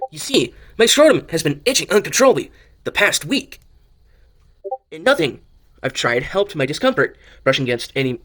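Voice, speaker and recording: A man's voice: a little high, a bit bright. Stumbling male amateur story narrator. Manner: stumbles over and repeats words. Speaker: narrator of fan audio drama.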